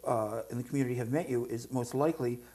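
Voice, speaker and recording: A woman's voice: extremely low pitch, fast talker, warm tone. A woman hosting a local community interview show, asking questions in a conversational style.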